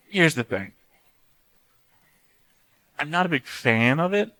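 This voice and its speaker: A man's voice: medium pitch, sharp tone, no room sound. Man giving casual commentary, close and dry. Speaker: host of an anime reaction channel.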